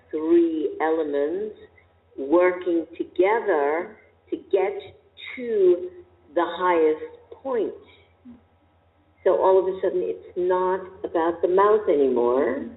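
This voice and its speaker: A woman voice teacher speaks slowly and deliberately, pressing her points with probing questions. Her voice comes through thin and phone-like. It echoes with long reverb in a large hall. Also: low-pitched. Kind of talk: coaching conversation.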